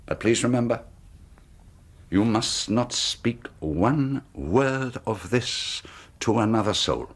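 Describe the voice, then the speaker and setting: An actor playing a sinister, secretive middle-aged colonel, talking smoothly and insistently in a radio drama. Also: male voice, medium pitch, animated, takes it slowly. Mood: persuasive. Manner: smooth, insistent, quietly threatening. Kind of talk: 1980s radio drama acting.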